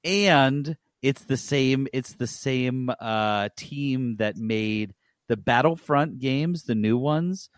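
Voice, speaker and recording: A man's voice: mid-range voice, slow, no room sound, clean studio sound. A man hosting a casual gaming news podcast, talking in a blunt, opinionated way.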